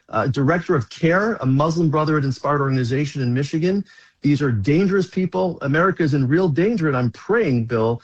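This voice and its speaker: A man's voice: fairly high pitch, lo-fi sound. A man, a rabbi, speaking as a guest on a talk radio show in an urgent, emphatic way.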